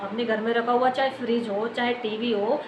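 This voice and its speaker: High-voiced Indian woman in an echoey, noisy room. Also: steady tone, moderate pace, dull audio. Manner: explanatory, wordy, repetitive, addressing viewers. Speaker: YouTube news commentator. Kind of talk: unscripted news commentary. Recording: echoey room, clear background noise.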